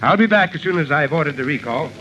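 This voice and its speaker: An actor playing a gruff, commanding fire chief in an old-time radio drama, blunt and blustery. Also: male voice, a little high, animated, quick pace, lightly acted. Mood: firm, confiding. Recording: noisy, muffled vintage radio recording.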